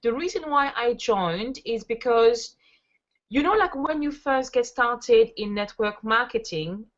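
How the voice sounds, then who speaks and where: A woman's voice: a little high, lively intonation, measured pace. A woman in her thirties from France, chatting in a casual interview as a guest and telling stories with frequent fillers. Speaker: interview guest, network marketer. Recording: dull lo-fi audio.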